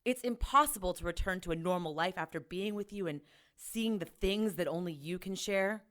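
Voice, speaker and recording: A woman's voice: fairly low pitch, moderate pace, fairly bright tone, natural voice. A woman playing a tough, exasperated ex-cop in an audio drama, with a blunt, sardonic manner. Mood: candid. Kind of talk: naturalistic audio drama acting.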